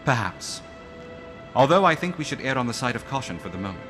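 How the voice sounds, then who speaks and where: An actor playing a cautious gentleman investigator in an audio drama, with formal, clipped speech. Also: mid-range voice, big pitch swings, brisk, faint music behind. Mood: deliberating.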